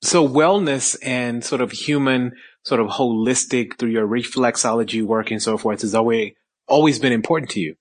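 A man's voice: mid-range voice, normal speed. A man hosting an interview, eagerly asking questions and steering the guest. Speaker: podcast host.

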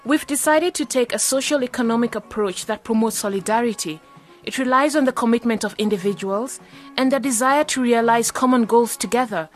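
An actress playing a polite, idealistic young economist in a radio drama. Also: female voice, a little high, a little fast, soft background music, natural voice. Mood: earnest. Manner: polite, earnest. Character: idealistic, courteous young female economist graduate.

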